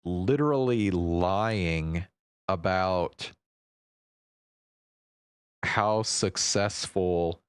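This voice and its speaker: A man with a deep, smooth voice hosts a casual livestream. He speaks slowly, thinking out loud with hesitations and the odd swear word. The sound is close and dry. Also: no room sound. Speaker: livestream host. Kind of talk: casual unscripted livestream chat.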